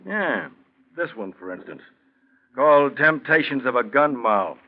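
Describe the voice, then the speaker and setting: An actor playing a dry, mock-polite, probing police desk sergeant in a 1940s radio crime drama. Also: male voice, medium pitch, lively intonation, unhurried, natural voice. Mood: sly, toying. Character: sly, sardonic male police desk sergeant. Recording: muffled 1940s radio recording.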